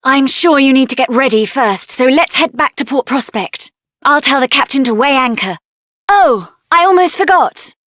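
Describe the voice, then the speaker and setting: Game heroine: young female mage, high and lively voice, assertive, sassy, dry close recording. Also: moderate pace, dry recording. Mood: friendly, brisk. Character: spirited, headstrong young female mage adventurer. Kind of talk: video game character voice acting.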